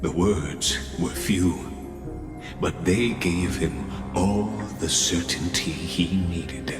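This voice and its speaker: Game narrator, solemn epic bard: deep, measured, echoing over music. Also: male voice. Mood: solemn, grand. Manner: grave, measured, theatrical. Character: solemn male storyteller of an epic legend. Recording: long hall reverb, music behind.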